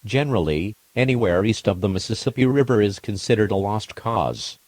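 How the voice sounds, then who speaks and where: A man voicing a formal emergency alert announcer, reading scripted warnings in a measured, impersonal, official manner. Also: on the low side, animated, a little mellow, no echo. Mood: grave, resigned.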